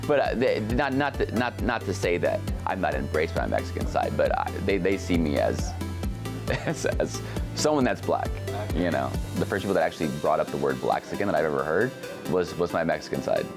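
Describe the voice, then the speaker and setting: A very deep-voiced man talks casually in an interview, stumbling and restarting his sentences as he thinks aloud. Music plays clearly underneath him. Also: big pitch swings. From Southern California. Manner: halting, restarts, laughs softly. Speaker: interviewee, comedian.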